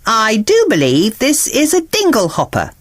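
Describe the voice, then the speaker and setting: A woman's voice: a little high, wildly swooping pitch, moderate pace, no echo, pitched above the performer's own voice. A woman voicing a chatty, know-it-all male seagull in a children's story, explaining things in a confident, folksy way.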